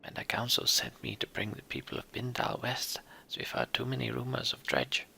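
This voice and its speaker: A man voicing a composed, cautious female mender, a town envoy in a fantasy game, in a measured, formal way. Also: mid-range voice, little pitch movement, creaky, sharp tone, husky, in a whisper, heavily acted. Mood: concerned.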